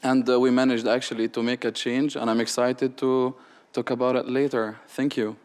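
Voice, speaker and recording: Smooth-voiced man speaking on a panel. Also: medium pitch, level tone, moderate pace, a little mellow. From Palestine. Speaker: youth league founder, panelist. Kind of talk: panel self-introduction.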